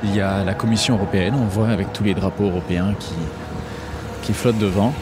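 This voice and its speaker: A man hosting a podcast, narrating calmly and describing a street on location. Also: lowish voice, fast, over music. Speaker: podcast host and reporter.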